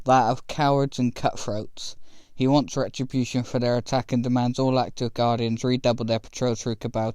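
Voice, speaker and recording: A man's voice: mid-range voice, smooth, no room sound, lightly acted. A man voicing a composed game narrator who relays military reports in a matter-of-fact way. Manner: even, matter-of-fact recounting.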